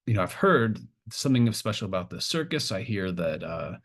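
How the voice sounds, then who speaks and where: A man's voice: lowish voice, big pitch swings, moderate pace, fairly bright tone. A man playing a stammering, apologetic small-town diner cook in an improvised tabletop roleplay. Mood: rambling, folksy. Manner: stammering, hesitant, rambling.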